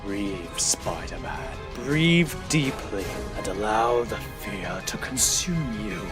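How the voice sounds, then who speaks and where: A menacing masked villain played by a man from an audio drama. He is theatrical and taunting, drawing words out slowly as he relishes fear, with music playing underneath. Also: lowish voice, big pitch swings, pitched below the performer's own voice. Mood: sinister relish.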